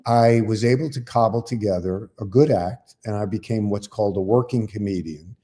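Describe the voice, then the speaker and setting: An older man with a fairly low, dark, mellow voice talks in a relaxed, chatty way. He drifts through long, self-deprecating stories, one after another. The sound is of a comedian trading stories with a co-host. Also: normal speed. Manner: rambling anecdotal storyteller, self-deprecating. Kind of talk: casual chat and storytelling.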